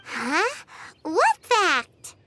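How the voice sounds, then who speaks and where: A woman voicing a tiny, excitable, childlike companion creature in an anime-style video game, squeaky and chirpy. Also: very slow, full character voice. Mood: puzzled. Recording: music behind the voice.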